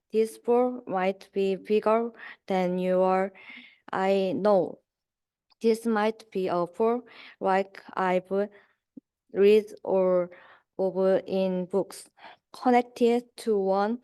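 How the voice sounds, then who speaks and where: A young woman student reading a rhyming children's book aloud in a halting, word-by-word way. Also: medium pitch, mellow, smooth. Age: young adult. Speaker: student reader.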